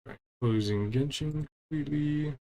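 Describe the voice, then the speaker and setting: A man's voice: a little high, moderate pace, dark tone. A man giving casual live commentary as he streams a game, muttering to himself and trailing off.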